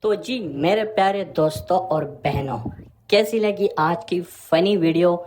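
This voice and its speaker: A man's voice: high-pitched, unhurried, a little mellow. A man hosting a video channel, narrating an outro addressed to his viewers. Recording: faint background noise and ambience.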